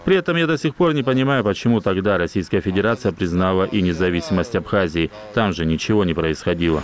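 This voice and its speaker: A man reading a translated news voice-over in a measured, even way. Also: low voice.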